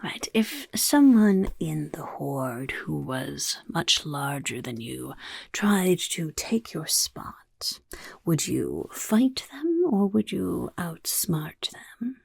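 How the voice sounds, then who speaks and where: A woman voicing a scheming, self-important goblin boss in a soft-spoken ASMR roleplay, raspy and half-whispered, muttering like an interviewer. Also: low voice, sing-song, takes it slowly, dry recording, exaggerated voice. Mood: probing, sly. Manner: speaks of self in third person, muttering, chaotic.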